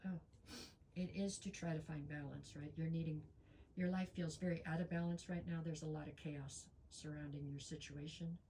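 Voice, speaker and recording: Woman tarot reader, low-pitched, hoarse, stuffy nose, chatty with lots of ums, faint hiss behind. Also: fairly even intonation, moderate pace, mellow, low-quality recording. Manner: chatty, frequent ums, talks straight to viewers. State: losing her voice, stuffy nose. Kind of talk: casual tarot reading.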